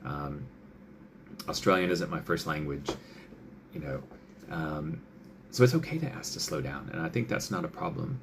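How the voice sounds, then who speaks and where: A man with a very low voice and a gravelly edge to it, talking as a doctor. He chats loosely, with lots of fillers, and slips into little acted-out lines of dialogue. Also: animated, moderate pace, long pauses, noisy background. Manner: chatty, many fillers, acts out quoted patient and doctor lines. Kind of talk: casual advice talk.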